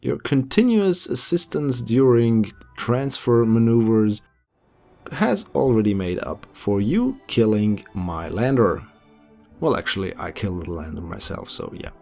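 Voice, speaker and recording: A man's voice: on the low side, very animated, a little slow, vocal fry, a little mellow. A young man with a German accent, chatty and self-deprecating, narrating his space game as commentator over his footage. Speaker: gameplay commentator. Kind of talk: casual gameplay commentary. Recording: voice-over with faint background music.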